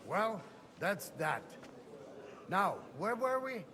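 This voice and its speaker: A man's voice: sits high, very animated, slow pace, sharp tone, heavily acted. An actor playing a stern, scornful older nobleman and father in a game, haughty and curt.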